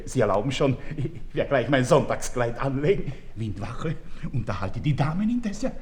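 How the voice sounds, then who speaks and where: A man's voice: mid-range voice, big pitch swings, normal speed, natural voice. An actor doing a boastful upstart. His voice leaps about as he blusters, and a faint hiss sits under the old recording. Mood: laughing. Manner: jovial, blustering, mock Italian. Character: newly rich boastful Viennese man, comic.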